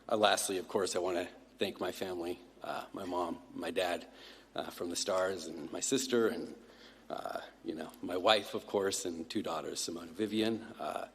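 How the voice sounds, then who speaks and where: A middle-aged man giving a halting award acceptance speech, full of fillers. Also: low-pitched, husky. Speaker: award winner. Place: large hall. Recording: long reverb of a big hall.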